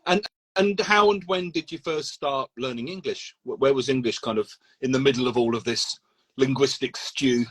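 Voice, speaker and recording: Male host, medium pitch, relaxed prompting questions, helpful interviewer. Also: moderate pace, breathy edge, dry recording. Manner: curious, prompting, supplies words.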